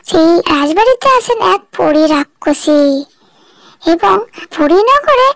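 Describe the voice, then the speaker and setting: A woman's voice: very high-pitched, expressive, slow, fairly bright tone, no room sound, rough recording. A woman giving scripted narration of a fairy tale as the storyteller.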